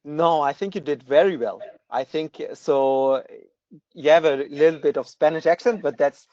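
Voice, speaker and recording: A man's voice: on the high side, normal speed, warm tone, no echo, lo-fi sound. A man with a German accent hosting a podcast interview, friendly and filling gaps with "uh". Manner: friendly, with filler "uh" and repeats. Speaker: podcast host. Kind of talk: casual interview talk.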